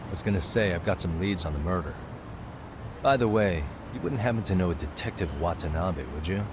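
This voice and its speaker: An actor playing a laid-back, sharp male private detective in a video game, talking in a casual, conversational way. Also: low-pitched, animated, fairly quick, noisy background. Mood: casual, businesslike.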